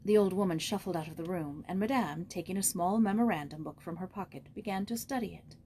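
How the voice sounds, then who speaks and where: A woman reading a children's story aloud in expressive, scripted narration as an audiobook reader. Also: on the low side, fast talker, slight background noise.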